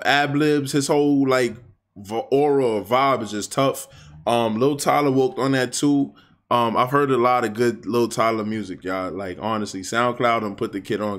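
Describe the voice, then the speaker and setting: A young man hosting a music reaction video. He chats casually and excitably in slang, speaking indoors to a webcam while wearing headphones. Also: fairly low pitch. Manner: excitable, slangy, loose and talkative. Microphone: webcam framing, wearing headphones.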